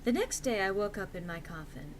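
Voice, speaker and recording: A woman's voice: medium pitch, animated, fairly quick, a little hiss or hum. A woman reading a story aloud as narrator in a theatrical, mock-serious and emphatic comic style.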